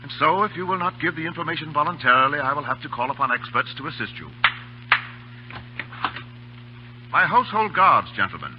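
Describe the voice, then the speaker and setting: A man's voice: a little high, very animated, fast. An actor playing a courtly, mock-polite Indian khan who menaces his captives in old-time radio drama. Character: suave, menacing Indian khan who captures and tortures officers. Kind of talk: 1930s radio drama acting. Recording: dull, noisy old radio broadcast with hum.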